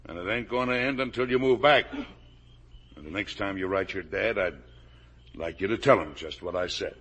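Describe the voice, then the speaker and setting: A man's voice: sits low, normal speed, a bit bright, husky. An actor playing a blustering, defensive middle-aged American factory owner and father in emphatic 1950s radio drama style. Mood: proud, insistent. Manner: emphatic, colloquial, insistent. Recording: old radio drama broadcast.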